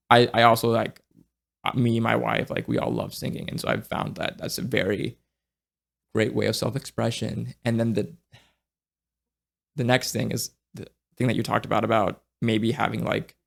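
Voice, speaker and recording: A young man chatting as a podcast guest, reflective and halting, with many restarts and fillers as he searches for words. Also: fairly low pitch, gravelly. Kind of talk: casual conversation.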